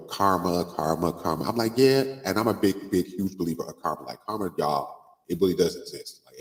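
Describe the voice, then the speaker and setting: A man, congested from a cold, hosting a casual live stream and chatting with lots of "like" and "um". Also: deep voice. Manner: chatty, drawn-out fillers like "like" and "um". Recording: long room reverb.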